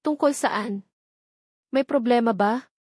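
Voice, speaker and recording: A woman voicing a guilt-ridden, frustrated man with an anxious, halting manner in an audiobook. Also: on the high side, darkish tone, dry recording, clean studio sound, pitched above the performer's own voice. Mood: worried. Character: guilt-ridden, frustrated man caught by his best friend.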